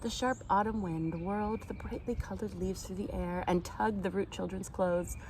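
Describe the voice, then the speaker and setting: A woman reading a children's picture book aloud in an expressive, animated storytime style. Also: mid-range voice, normal speed, a little hiss or hum, low-quality recording. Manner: animated, acts out the characters' lines. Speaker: storytime reader.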